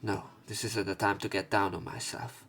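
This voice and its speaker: A man doing a let's play, reading visual novel narration and dialogue aloud, somewhat haltingly, correcting himself as he goes. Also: on the low side, level tone, gravelly, a bit bright. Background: game music.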